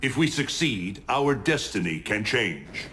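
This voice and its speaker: A lowish male voice for a grave thunder god in a video game. He speaks with solemn resolve, a little ambient noise behind him. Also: sing-song, unhurried, bright, forceful tone, heavily acted. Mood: resolute. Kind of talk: dramatic video game acting.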